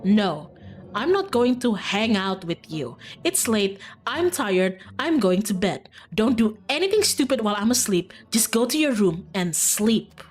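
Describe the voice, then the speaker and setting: A woman's voice: medium pitch, very animated, a little slow, faint music behind. Woman playing a sour, weary young cousin. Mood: irritated, weary.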